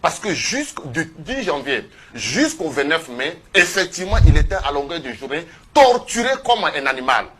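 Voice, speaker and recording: A man giving impassioned testimony as a guest in a television studio, speaking emphatically and haltingly, often repeating phrases. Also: fairly high pitch, sing-song, fairly bright tone. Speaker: activist guest on a TV panel.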